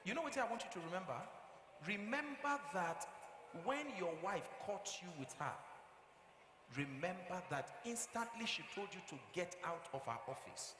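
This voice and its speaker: A man acts out a firm Nigerian marriage counsellor in a live stage play, his voice fairly high. He admonishes in a preachy, fatherly way, repeating himself for emphasis. The sound rings around a noisy, echoing hall. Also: animated, moderate pace. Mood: grave, counselling. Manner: emphatic, preachy, with rhetorical questions and repetition. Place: theatre stage with live audience.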